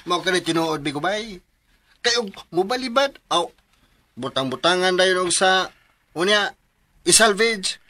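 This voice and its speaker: A man playing an excitable, folksy village best friend with colloquial delivery in a Philippine radio drama. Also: high voice, a little slow, long pauses, full character voice. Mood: cautioning.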